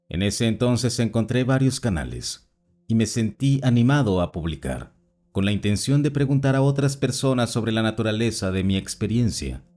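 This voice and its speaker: A man calmly narrating written personal accounts as a scripted story reading. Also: lowish voice. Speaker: narrator reading listener stories. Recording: voice-over with faint background music.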